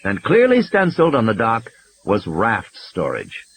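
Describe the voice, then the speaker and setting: A man's voice: on the low side, huge pitch swings, unhurried, vocal fry, slightly rough, rough recording. A man reading scripted audiobook narration, with ambience and sound effects behind him.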